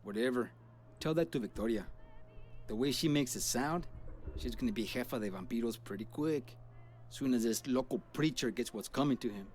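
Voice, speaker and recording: A male actor as a streetwise young-blood vampire from a video game, cocky and boastful. He speaks in full of slang and words, at an ordinary male pitch, with music playing behind him. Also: normal speed, a little mellow, natural voice. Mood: dismissive. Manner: boastful slangy talk peppered with Spanish.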